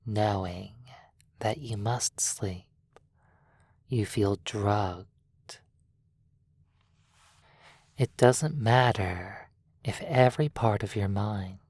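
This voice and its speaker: Very low, breathy vampire seductress played by a woman. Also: no echo, exaggerated voice. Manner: slow, hushed, drawn-out, often slipping into whispers. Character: seductive predatory vampire stranger, hypnotic and possessive. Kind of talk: sleep hypnosis roleplay, ASMR.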